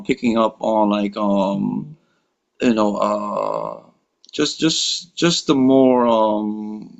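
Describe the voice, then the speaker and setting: An American-born man chatting casually on a video call, rambling with lots of fillers and relaxed slang. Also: lowish voice, vocal fry. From United States. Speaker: workshop participant. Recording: dull lo-fi call audio.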